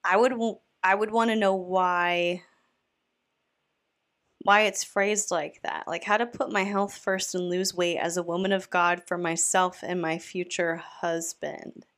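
A woman's voice: fairly low pitch, steady tone, measured pace, a bit bright. Snarky woman reacting to a clip. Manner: snarky, incredulous, talks back to the clip. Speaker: commentary podcast host.

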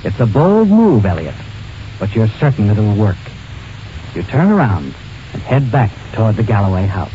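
An actor playing a knowing, taunting suspense narrator in an old radio drama, speaking deliberately in the second person. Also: male voice, on the low side, very animated, background noise. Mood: tense, insinuating. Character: knowing, insinuating male storyteller addressing the culprit. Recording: dull old radio recording with music.

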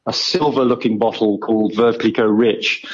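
A man's voice: lowish voice, fairly even intonation, husky. Polished man on a dull phone line. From not South Africa. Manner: polished, measured, many ums. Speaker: champagne brand guest. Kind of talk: phone interview.

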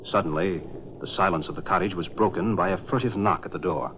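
A man's voice: deep voice, quick pace. An American man announcing and narrating in dramatic 1940s radio style. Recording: muffled old radio recording with hiss.

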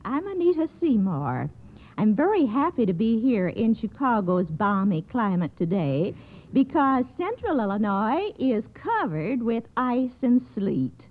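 Animated woman on tinny old radio. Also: a little high, a little slow. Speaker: quiz contestant. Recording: tinny, noisy vintage radio recording.

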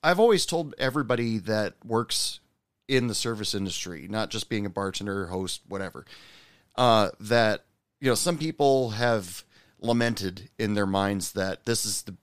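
A man's voice: fairly low pitch, moderate pace, clear, resonant tone, no echo. A male host asking questions and musing in a loose, rambling way with frequent fillers. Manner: rambling, many fillers and restarts. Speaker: interviewer, host. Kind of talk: casual interview chat.

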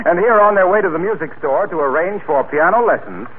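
A male announcer from old-time radio speaks with polished, folksy salesmanship. His slightly high voice rises and falls widely, through a narrow, faintly noisy vintage broadcast recording. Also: animated, brisk. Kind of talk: 1940s radio announcing and sponsor pitch.

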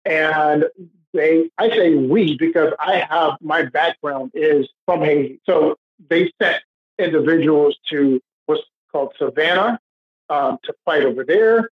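Husky, higher-pitched man, halting delivery. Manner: hesitant, halting, repeats words. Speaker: podcast guest. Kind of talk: unscripted discussion. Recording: dull lo-fi audio.

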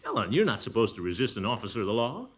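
An actor playing a pompous, blustering middle-aged bachelor uncle, chuckling and wheedling in a 1940s radio comedy. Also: male voice, lowish voice, sing-song, racing. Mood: flirty.

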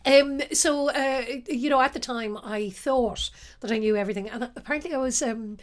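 A middle-aged Irish woman from the west of Ireland, an actress telling stories from her life in a relaxed interview, self-deprecating and quick to laugh. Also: a little high. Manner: warm, self-deprecating storyteller, laughs often. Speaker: actress guest.

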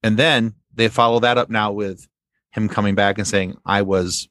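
A man's voice: lowish voice, fairly even intonation, moderate pace, fairly bright tone, dry recording, clean studio sound. A man in a casual fan discussion as a podcast guest, rambling and circling back to repeat his phrases.

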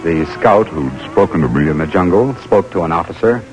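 An actor playing an intense, driven American army lieutenant in an old radio drama, with a dramatic delivery. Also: male voice, sits low, lively intonation, a little fast, a little mellow, dull audio, faint music behind. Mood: haunted narration. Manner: dramatic, urgent. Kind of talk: old-time radio drama acting, first-person narration.

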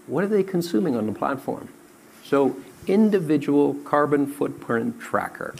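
Male exec on a panel, gravelly voice, promotional tone, faint noise behind. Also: fairly high pitch, expressive, moderate pace, a little mellow, low-quality recording. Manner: promotional, explanatory. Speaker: company president. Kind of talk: panel talk.

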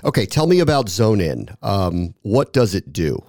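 Lively-voiced male podcast host. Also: fairly low pitch, moderate pace, no echo, studio quality. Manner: conversational, reflective. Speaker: interview host. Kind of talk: podcast interview hosting.

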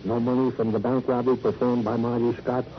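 A man's voice: mid-range voice, little pitch movement, fast talker, lightly acted. Man acting a businesslike policeman, muffled old radio. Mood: quiet, factual. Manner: clipped, businesslike. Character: matter-of-fact male police colleague reporting case facts. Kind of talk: classic radio crime drama acting. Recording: dull old radio recording, faint noise.

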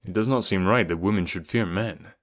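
An earnest young jungle hero, as a narrator reads him, calm and direct in a low, steady voice. His words come measured and plain. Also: lightly acted. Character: strong lonely young jungle man, earnest and plain-spoken.

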